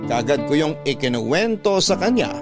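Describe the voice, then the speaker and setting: A man's voice: a little high, very animated, moderate pace, over music. An actor playing a man who narrates his own eerie countryside memory in a radio story. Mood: reflective storytelling. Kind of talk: first-person dramatic narration of a radio story.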